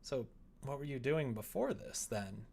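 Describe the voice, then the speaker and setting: A man's voice: medium pitch, animated, measured pace, vocal fry, darkish tone, slightly rough, full character voice. A man voicing a polite, apologetic visual novel protagonist who manages idols, mild and earnest.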